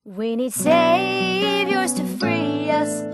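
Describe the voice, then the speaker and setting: Young female bard, very high singing voice, heroic fantasy ballads over prominent plucked strings. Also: lively intonation, extremely slow, heavily acted. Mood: stirring, mournful. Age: young adult. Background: plucked guitar-like string accompaniment.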